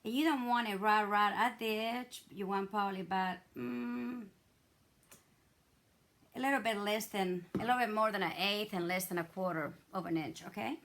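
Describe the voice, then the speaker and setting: A hoarse middle-aged woman, chatting her way through a craft tutorial with many fillers and restarts. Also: medium pitch, fairly even intonation, moderate pace, a bit bright. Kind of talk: casual step-by-step craft demonstration.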